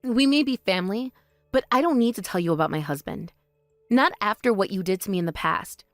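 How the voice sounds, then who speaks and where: A woman voicing a calm, composed older sister who speaks in a measured, quietly cutting way in a voice drama. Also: mid-range voice, no room sound, studio quality, soft background music, close to an everyday voice. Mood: cool. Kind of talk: voice drama, one performer.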